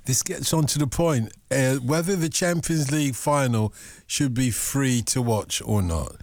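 A man hosting a late-night radio phone-in, teasing his callers and his guest with a jokey, quick-talking manner. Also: medium pitch, darkish tone, no echo. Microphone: studio microphone.

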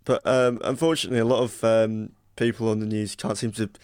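A man's voice: lowish voice, steady tone, a little fast, a little mellow, clear, resonant tone, dry recording, clean studio sound. A man on a radio talk show, giving his opinion casually with hedges and fillers. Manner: hedging, with fillers.

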